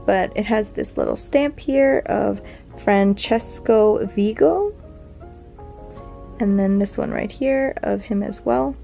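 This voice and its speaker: A young woman talking through her collection at home, chatty and meandering, full of little fillers. Her voice sits mid-range with a gravelly fry. Faint music plays under her. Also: medium pitch, animated, slow. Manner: chatty, rambling, fillers and soft laughs. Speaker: vintage collection vlogger. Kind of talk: casual show-and-tell narration. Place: home near a big airport.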